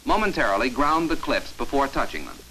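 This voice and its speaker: An American man delivering scripted instructional narration for a 1950s training film in an authoritative, measured, emphatic announcer manner, on an old film soundtrack. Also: mid-range voice, big pitch swings, pushed and bright, slight background noise, low-quality recording.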